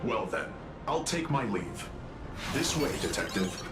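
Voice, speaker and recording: Genial company spokesman, ceremonious and animated, echoing voice over loud music, anime game. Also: medium pitch, a little slow. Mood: courteous. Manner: formal, showy, deferential. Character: polished, genial, ceremonious corporate male guide. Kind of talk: anime-style video game voice acting. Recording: echoey, loud music behind.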